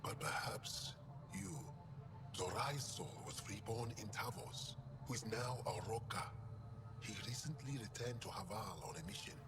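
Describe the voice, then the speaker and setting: An actor playing a solemn, resigned alien mystic in a video game, grave, measured and cryptic. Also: male voice, on the low side, expressive, heavy background noise. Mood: solemn, guarded hope. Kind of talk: video game cutscene acting.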